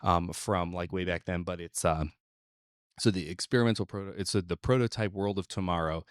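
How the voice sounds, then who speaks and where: A man's voice: low-pitched, fairly even intonation, fairly quick, clean tone, dry recording, studio quality. A man co-hosting a podcast in casual chat, rambling loosely from thought to thought. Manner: rambling, peppers talk with "like". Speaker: podcast co-host.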